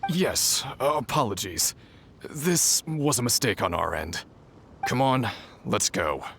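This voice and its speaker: A man's voice: mid-range voice, very animated, slow, gravelly, a bit bright, slight background noise, exaggerated voice. A man playing an officious city guard officer in a video game, formal, stern yet deferential. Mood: sheepish.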